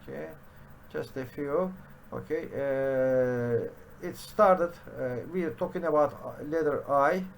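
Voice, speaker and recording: Man, middle-aged, halting with many fillers, faint background hiss, home study webcam. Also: mid-range voice, gravelly. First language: Turkish. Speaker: programming teacher. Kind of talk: screen-share coding tutorial.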